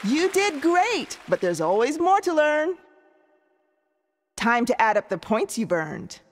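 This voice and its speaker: A woman voicing a cheerful animated quiz show host, presenting in an encouraging, emphatic scripted style. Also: high-pitched, slow, full character voice. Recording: reverberant hall with clear crowd noise.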